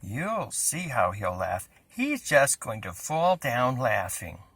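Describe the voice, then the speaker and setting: A man's voice: medium pitch, sing-song, slow, a bit bright, breathy edge, no echo, heavily acted. A man voicing a smug, teasing older sister in a children's storybook, drawing out the words to taunt. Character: teasing older sister, a girl, smugly mocking.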